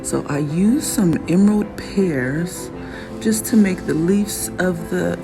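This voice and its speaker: Raspy slow-talking woman. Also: on the low side, poor audio. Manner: chatty, admiring, laughs often. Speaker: fashion designer vlogger. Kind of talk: casual show-and-tell vlog. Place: workshop in Arizona. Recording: music playing behind the voice.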